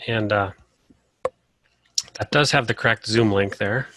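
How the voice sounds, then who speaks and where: A man from Colorado leading a casual online class over a video call, relaxed and full of "uh" fillers. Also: on the low side, a little slow, no room sound. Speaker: course instructor.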